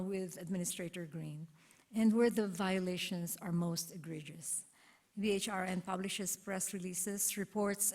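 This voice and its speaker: A woman's voice: lowish voice, darkish tone. A woman giving a formal introduction of a guest speaker at a conference, mostly reading from prepared notes. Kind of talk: formal scripted introduction with some ad-libs. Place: conference auditorium.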